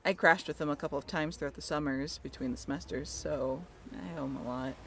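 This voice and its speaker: A woman's voice: low-pitched, brisk, slight background noise. A young woman playing a friendly, teasing sailing-ship crew member in an amateur audio roleplay, chatty and informal with a joking streak. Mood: friendly, bashful. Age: young adult.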